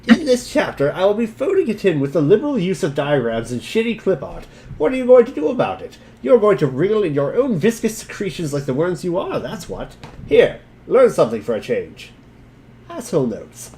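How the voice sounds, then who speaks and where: A man's voice: high voice, wildly swooping pitch, brisk, mellow, exaggerated voice. A man voicing a contemptuous, foul-mouthed textbook author who sneers at the reader in exasperation. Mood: scornful.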